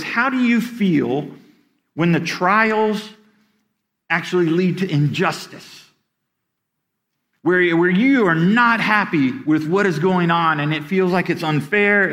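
A middle-aged pastor preaches with an earnest, plain delivery. His voice sits high for a man, and he often stumbles over and repeats the first word of a sentence as he builds his point. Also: a bit bright. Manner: earnest, repeats words when starting sentences. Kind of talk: sermon.

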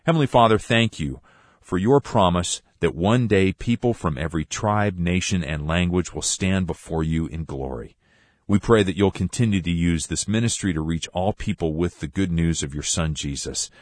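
Deep-voiced man hosting a radio Bible show. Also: moderate pace, no room sound, clean studio sound. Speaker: radio ministry host. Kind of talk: scripted radio introduction and letter reading.

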